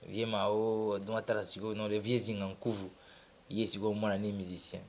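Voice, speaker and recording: Young Congolese musician, low relaxed voice. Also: male voice, moderate pace. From Congo-Kinshasa. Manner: relaxed, rambling, with many restarts. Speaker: musician guest. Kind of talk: casual interview, mixing French and Lingala.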